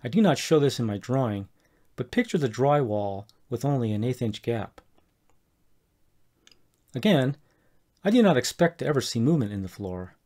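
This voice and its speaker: Measured man narrating a DIY build. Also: mid-range voice, a little mellow. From Colorado, USA. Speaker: homeowner explaining a DIY project. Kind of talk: scripted voice-over narration.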